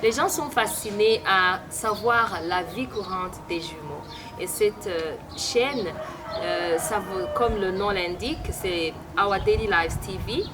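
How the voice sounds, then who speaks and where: A woman from Burundi hosting an interview, speaking hesitantly with many filler pauses. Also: mid-range voice. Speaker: interviewer and host. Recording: loud background noise.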